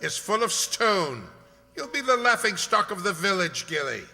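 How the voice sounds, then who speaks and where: A stern detective of a radio mystery, played by a man, sure of himself and used to command. The voice is high for a man and very bright and cutting, declaiming with authority in a reverberant hall. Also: very animated, normal speed, gravelly, faint music behind, heavily acted. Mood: stern accusation.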